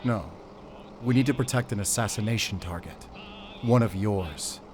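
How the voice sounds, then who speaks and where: A man's voice: fairly low pitch, normal speed, a little mellow, close to an everyday voice. An actor playing an earnest male captive who argues his case insistently in an audio drama. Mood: guarded, serious. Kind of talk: cinematic audio drama acting. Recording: faint vehicle noise behind.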